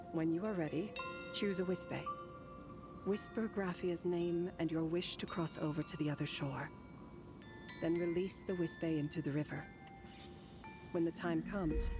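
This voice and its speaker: An actress voicing a serene, solemn ferrywoman in a video game, giving guidance in a calm, formal, measured way. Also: lowish voice. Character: serene, solemn ferrywoman guiding souls with gentle authority. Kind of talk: scripted video game voice acting.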